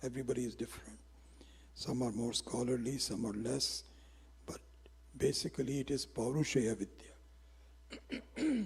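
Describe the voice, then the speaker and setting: A man whose first language is Hindi, giving an informal spiritual lecture on Vedanta in a reflective, unhurried way, with frequent pauses and restarts. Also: on the high side, dark tone, breathy edge, in a large hall. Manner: reflective and unhurried, pausing often with restarts and fillers. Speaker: Vedanta teacher.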